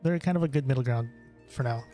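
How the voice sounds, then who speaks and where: A young man from the Philippines talks to his stream chat in a mid-pitched voice, looping back and restarting his sentences as he answers questions. Faint music plays underneath him. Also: fast talker, dark tone. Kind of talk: casual gameplay stream chat.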